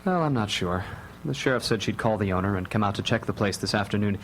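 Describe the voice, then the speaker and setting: A man playing a calm, protective father in a radio drama, with dry, mock-formal humour. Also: on the low side, fast talker, poor audio. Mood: serious, protective. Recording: live radio recording, faint hum.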